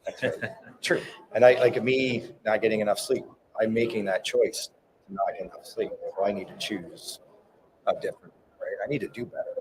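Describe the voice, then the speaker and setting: A man's voice: on the low side, fairly even intonation, normal speed. A man in casual podcast chat, encouraging and reflective, often checking in with "right?". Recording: crowd noise at live event.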